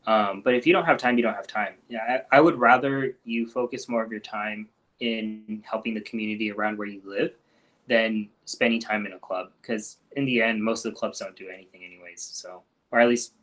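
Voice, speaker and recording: Male doctor, lower-pitched voice, relaxed live-stream Q&A delivery, lots of filler, soft trailing endings. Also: fairly quick, low-quality recording. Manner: relaxed, frequent ums and restarts, trails off softly.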